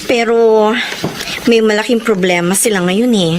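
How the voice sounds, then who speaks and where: A woman's voice: mid-range voice, fairly even intonation, a little slow, noisy background, natural voice. A woman playing a bubbly, teasing, gossipy friend in a Filipino audio melodrama, gushing and exclamatory. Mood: confiding. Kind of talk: melodramatic Filipino radio-style drama acting.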